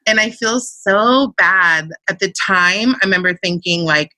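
A woman's voice: mid-range voice, unhurried, sharp tone, dry recording, rough recording. A woman, a podcast guest, telling personal stories in a chatty way with lots of filler words. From Central Virginia, USA. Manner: chatty storytelling, lots of "like" and "you know". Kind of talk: casual interview chat.

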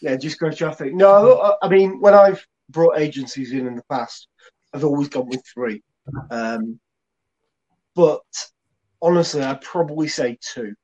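A man's voice: on the high side, measured pace, a little mellow, highs cut off. Man co-hosting, thinking aloud. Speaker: co-host, agency colleague. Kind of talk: casual chat.

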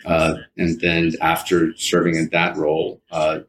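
A man in his fifties answering interview questions conversationally, haltingly, often repeating words and restarting his sentences. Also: low voice, monotone, fairly bright tone, a little hiss or hum, poor audio. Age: 50s.

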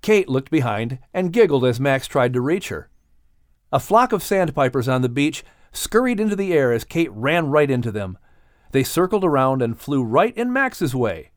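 A man narrating a scripted children's story as an audiobook reader, with expressive delivery. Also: mid-range voice, moderate pace, no echo, studio quality.

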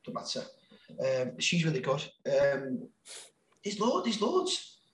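A man's voice: mid-range voice, huge pitch swings, slow, roomy sound. A man with a Sheffield accent, a guest on a podcast, chatting casually and self-deprecatingly, with lots of laughter and restarts. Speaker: guest, music label founder.

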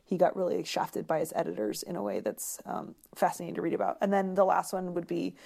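A woman speaking as an interview guest in a conversational way, searching for words with many pauses and repeats. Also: lowish voice, expressive, vocal fry, dark tone, slightly rough.

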